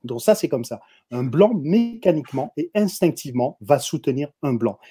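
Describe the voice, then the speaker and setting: A man with a Parisian accent speaks as a guest in a heated discussion. His voice is soft-toned and a little husky, and he hammers his points home as if lecturing the audience directly. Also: medium pitch, lively intonation, normal speed. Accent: Parisian French. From France. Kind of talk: opinionated discussion commentary.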